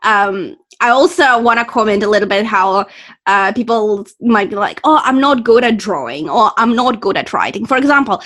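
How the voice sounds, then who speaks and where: A woman's voice: medium pitch, lively intonation, normal speed, fairly bright tone. A woman interviewing a guest in casual chat, speaking English as her second language, encouraging and full of fillers and restarts. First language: not English.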